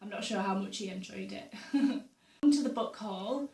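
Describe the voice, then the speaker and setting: A young woman chats about her books and her week in a loose, friendly vlog style, full of ums and little laughs. Her voice is a touch hoarse from a sore throat. The sound is roomy and echoey, as if she sits some way back from the mic. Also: mid-range voice, moderate pace, darkish tone. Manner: chatty, with frequent ums and uhs and little laughs. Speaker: book vlogger. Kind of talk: casual chat to camera. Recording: roomy echo, voice a bit far from the mic.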